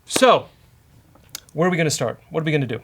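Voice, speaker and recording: A man with an Irish accent hosting a casual live-coding demo, dry, teasing and halting. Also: a little high, animated, fairly bright tone, a little hiss or hum. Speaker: host, software developer.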